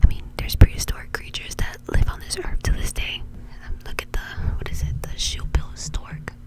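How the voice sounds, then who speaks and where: Woman whispering casual ASMR. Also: normal speed. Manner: informal, chatty. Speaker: host.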